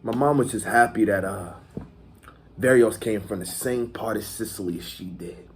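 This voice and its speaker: A man performing a dramatic monologue as a swaggering, foul-mouthed gangster reminiscing about his youth, halting and repeating words for emphasis. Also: fairly low pitch, slight background noise, close to an everyday voice. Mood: wistful, confiding.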